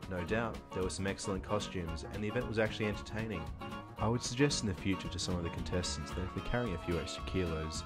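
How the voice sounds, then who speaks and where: A young man reads his scripted voice-over in a low, steady voice and drops in dry, wry asides. He speaks into a desk mic in a small office room, and clear music runs behind his voice. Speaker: reporter. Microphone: studio mic on a desk stand.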